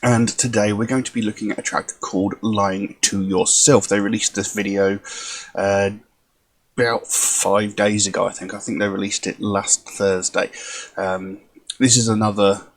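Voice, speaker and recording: A man hosting a music reaction video in casual chat, his voice hoarse and croaky, rambling with many ums. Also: on the low side, level tone, normal speed.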